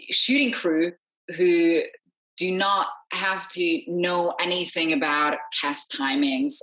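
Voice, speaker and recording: A woman's voice: low-pitched, a bit bright. A woman explaining things in casual conversation as an assistant director, emphatic and repeating short phrases to make her point. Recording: roomy, echoey sound.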